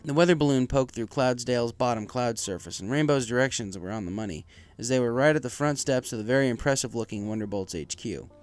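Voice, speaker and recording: A man's voice: medium pitch, quick pace, hardly any pauses. A man reading a fan fiction story aloud as a scripted narration. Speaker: story reader. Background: music.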